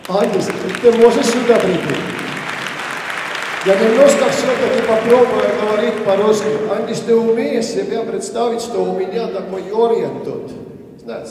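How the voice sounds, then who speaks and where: Estonian guy, high voice, broken playful speech, far off in a big echoey hall, crowd noise. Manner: broken, struggling phrasing, playful. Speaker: dance group representative giving a speech. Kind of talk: congratulatory stage speech. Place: large hall with audience. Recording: echoey hall, recorded from afar.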